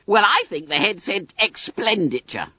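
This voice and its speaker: Storybook role: smug, pompous fat schoolboy, very high, squeaky and gleefully boastful, dull sound. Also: huge pitch swings, unhurried, slightly rough, dry recording, full character voice. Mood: triumphant. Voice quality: squeaky, trilling. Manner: pompous, gleeful, muddles long words.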